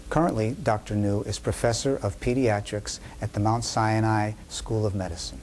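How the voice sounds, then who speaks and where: A man interviewing a doctor, asking calm, formal questions about her life. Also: fairly low pitch, normal speed, slight background noise.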